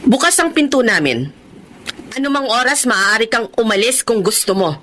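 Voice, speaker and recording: Wary mother, lively pitch, stern and probing, faint hiss, radio play. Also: normal speed. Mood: suspicious. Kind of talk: radio drama acting.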